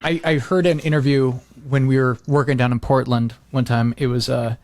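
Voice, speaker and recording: A man's voice: medium pitch, level tone, moderate pace, darkish tone, no room sound, studio quality. A middle-aged American man chatting casually as a podcast host, rambling and leaning on "like" as he acts out little quotes. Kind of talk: casual chat.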